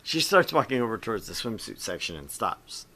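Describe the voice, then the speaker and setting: Animated, bright-voiced man hosting a game. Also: lowish voice, a little fast, slight background noise. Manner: goofy, jokey, switches between reading and banter. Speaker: gaming show host and reader. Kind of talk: casual visual novel playthrough, reading aloud and joking.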